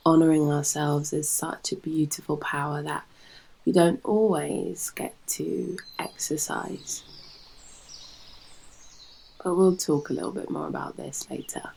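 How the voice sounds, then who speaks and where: A British woman guiding a yoga nidra meditation in a soft, breathy, soothing manner with long pauses. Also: low-pitched, steady tone. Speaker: yoga nidra guide and host. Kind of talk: guided meditation narration.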